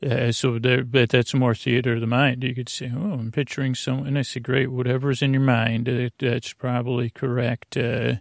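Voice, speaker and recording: Male sleep-podcast host: gravelly, low-set voice, soothing and digressive delivery, very dry close sound. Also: flat delivery, dry recording. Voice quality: creaky. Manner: meandering, halting, digressive, with repeated false starts; soothing, lulling. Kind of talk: rambling bedtime podcast monologue to lull listeners to sleep.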